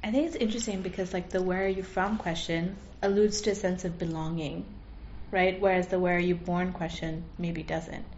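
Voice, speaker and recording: Woman interviewer, mid pitch, attentive, poses questions, muffled recording with faint hiss. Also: steady tone, fairly quick, a little mellow. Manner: attentive, prompting with questions and frequent backchannels. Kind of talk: casual conversation.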